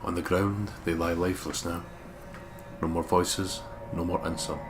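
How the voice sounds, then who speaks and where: Casting: somber male detective narrator in a dramatic game, deep voice over music. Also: little pitch movement, measured pace. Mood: somber, tense. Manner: grave, somber. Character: brooding, anguished male detective narrating his inner thoughts.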